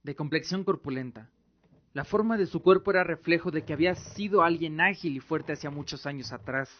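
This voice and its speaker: A man narrating a scripted fantasy radio drama in a solemn, measured way. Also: on the high side. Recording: dull lo-fi recording with music. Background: music bed.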